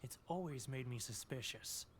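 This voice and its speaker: A man playing an uneasy merchant in an anime video game, explaining in a measured, hesitant manner. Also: mid-range voice, a little hiss or hum, lightly acted. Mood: resigned. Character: uneasy male merchant confessing as a reluctant puppet.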